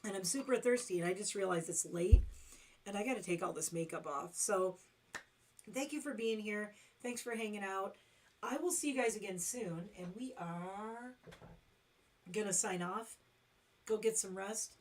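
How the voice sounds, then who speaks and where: A middle-aged woman hosting a long casual livestream, hoarse and losing her voice, rambling with lots of fillers. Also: medium pitch, normal speed, slight background noise. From Detroit. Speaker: livestream host.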